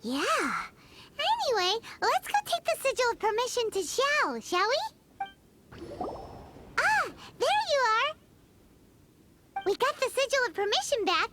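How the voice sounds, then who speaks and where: A woman voicing a tiny, childlike fairy companion in a game, excitable and nosy, talking about herself in the third person. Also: a little slow, pauses often, very noisy, exaggerated voice. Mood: curious. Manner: squeaky, refers to self in third person. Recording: game dialogue over prominent music.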